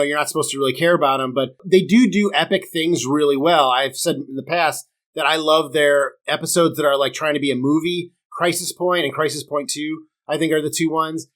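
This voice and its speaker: A man's voice: a little high, fairly bright tone. A man hosting a casual review podcast, chatty and opinionated, talking straight to his viewers while sick with a sore throat. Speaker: podcast host.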